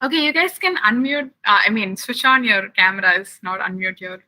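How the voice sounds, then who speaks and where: A woman's voice: mid-range voice, expressive, bright, forceful tone, rough recording. A young woman from Chennai, chatting with children while giving a science camp lab tour over a video call. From Chennai, India. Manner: chatty, asks the children many quick questions.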